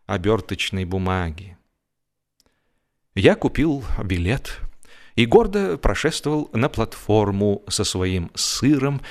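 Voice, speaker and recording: A man narrating a humorous literary story in an expressive, mock-serious theatrical manner, with deliberate pauses. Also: fairly low pitch. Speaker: audiobook narrator, actor.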